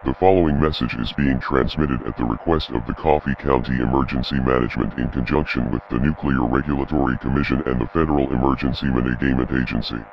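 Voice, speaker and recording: A man's voice as an impersonal automated emergency announcer, reading a formal bulletin in a flat, official manner over muffled radio. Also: extremely low pitch, fast talker, hardly any pauses. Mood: impersonal, grave. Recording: muffled noisy radio broadcast, hum.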